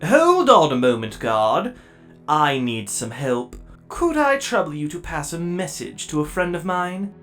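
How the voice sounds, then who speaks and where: A man voicing a haughty, scheming robot villain held prisoner, dismissive and sardonic, in an animated comic dub. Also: on the high side, a little slow, faint music behind. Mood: sly.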